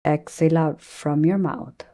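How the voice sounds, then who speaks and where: A woman leading a guided meditation as a teacher, speaking gently and soothingly with long pauses between phrases. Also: low-pitched, fairly even intonation.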